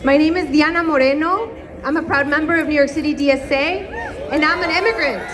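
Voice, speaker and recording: Female activist from Ecuador, very high projected voice, impassioned rally oratory, noisy outdoor sound. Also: brisk. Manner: impassioned, declamatory. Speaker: protest speaker, activist. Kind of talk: rally speech. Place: outdoor street protest. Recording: outdoor PA with crowd noise. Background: crowd, street noise.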